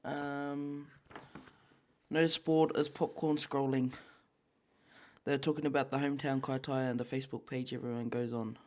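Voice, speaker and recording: A man's voice: medium pitch, level tone, moderate pace, long pauses, a little mellow. A man with a New Zealand accent co-hosting a casual podcast, chatting informally in slang and reading out viewer comments. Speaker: podcast co-host.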